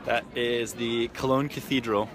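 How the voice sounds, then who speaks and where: A man's voice: mid-range voice, steady tone, unhurried, crowd noise. A man in his thirties from Germany, filming a cheerful birthday greeting on his phone outside a city station at night. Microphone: phone held at arm's length. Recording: busy street noise outdoors.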